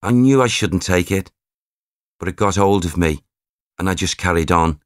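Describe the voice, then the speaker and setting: A man voicing a cheeky young male spirit with a Liverpool accent, talking cocky and loud. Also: deep voice, moderate pace, no echo, clean studio sound, lightly acted.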